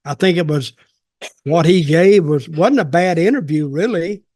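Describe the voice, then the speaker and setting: A man in his seventies with a slightly high-set voice talks casually on a podcast. He rambles through opinions in a blunt, folksy way. The sound is dry, with very little room in it. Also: normal speed, a little mellow, dry recording. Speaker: podcast co-host and wrestling storyteller. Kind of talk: casual chat, opinionated commentary. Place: small home office, video call.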